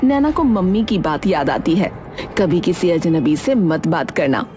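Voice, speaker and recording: A woman narrates a scripted children's tale as storyteller. Her tone is soft and rounded, with faint music underneath. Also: on the low side, animated, unhurried.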